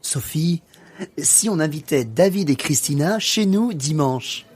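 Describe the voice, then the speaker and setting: Sociable French dad for a lesson radio play, male actor, fairly high voice, welcoming, small hesitations. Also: expressive, a little slow, a little mellow, husky. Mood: friendly, hospitable. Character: friendly, welcoming French husband and father at dinner. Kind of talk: scripted educational radio play.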